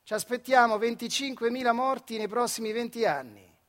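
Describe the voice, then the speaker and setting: Guy putting on glib tv official, high pitched, declaiming and fake reassuring, stage parody. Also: fairly quick, a bit bright, long reverb tail. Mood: falsely reassuring. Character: glib, official television newsreader quoting asbestos experts. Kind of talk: theatrical parody of public announcement.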